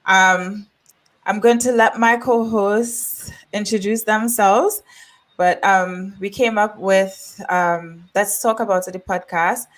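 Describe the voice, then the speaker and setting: A woman from Trinidad, an upbeat and chatty podcast host who laughs often. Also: medium pitch. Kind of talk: casual podcast chat.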